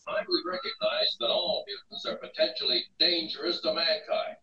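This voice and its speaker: An actor playing an alarmist television newsman warning of menace in an animated series. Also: a little high, moderate pace, full character voice. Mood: alarmed. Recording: echoey, dull, noisy distant TV playback.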